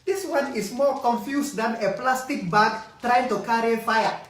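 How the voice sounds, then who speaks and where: A woman's voice: fairly high pitch, unhurried, slight background noise. A woman giving incredulous, sarcastic reaction commentary in a mocking way. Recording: echoey room, distant from mic.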